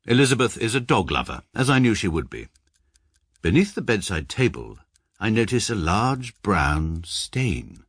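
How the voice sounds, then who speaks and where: A man narrating in the first person, dry and deadpan. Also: lowish voice, a little slow, breathy edge, dry recording. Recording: noisy radio recording.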